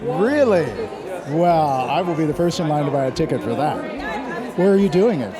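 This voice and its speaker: High-voiced man interviewing on a red carpet. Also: lively intonation, moderate pace. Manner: effusive, complimentary. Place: busy event red carpet at a hotel. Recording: music and crowd chatter behind. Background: music, crowd.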